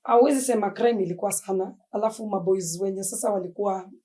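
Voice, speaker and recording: A woman's voice: medium pitch, quick pace. A young Kenyan woman with a Kenyan accent, a podcast guest in casual chat, easily mixing slang and English. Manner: relaxed, mixes Swahili slang and English.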